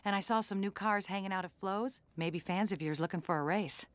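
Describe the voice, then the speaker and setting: A woman with an American accent voicing a smart, teasing sports car in an animated game, with a wry manner. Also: medium pitch, fairly quick, no room sound, natural voice. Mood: teasing, friendly. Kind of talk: animated video game acting.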